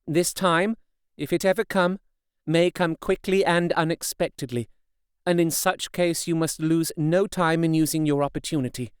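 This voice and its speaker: A man's voice: fairly high pitch, steady tone, normal speed, clean tone, no room sound, clean studio sound, pitched above the performer's own voice, close to an everyday voice. A man voicing a devoted young wife in an audiobook, speaking with earnest, tender pleading. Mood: solemn pleading. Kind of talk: audiobook character reading.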